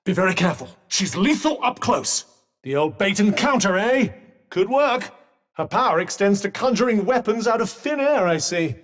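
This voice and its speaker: A man's voice: sits high, big pitch swings, normal speed, a bit bright, in a large hall. A man voicing a sardonic talking magic bracelet in a video game, firing off dry, sarcastic quips and urgent combat warnings. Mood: urgent coaching. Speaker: voice actor.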